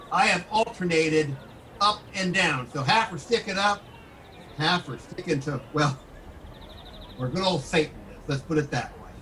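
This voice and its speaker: High, bright folksy storyteller, a man acting. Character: earnest folksy nostalgic man named Jed. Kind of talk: improvised comedy sketch. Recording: distant mic, faint background noise.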